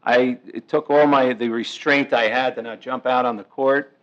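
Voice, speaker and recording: A middle-aged man with a loud, upbeat voice talks chattily as he hands out team awards at a township meeting. His tone stays mid-pitched and friendly. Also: steady tone, moderate pace, muffled recording. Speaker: committeeman and recreation director. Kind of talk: award presentation remarks.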